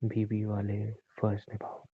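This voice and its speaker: A man's voice: fairly low pitch, completely flat delivery, slow pace, husky. Man playing a brooding husband, tinny phone sound. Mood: menacing. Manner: repetitive, brooding, pleading and threatening. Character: distraught, menacing husband, bitter and self-pitying. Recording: muffled phone-like narrowband audio.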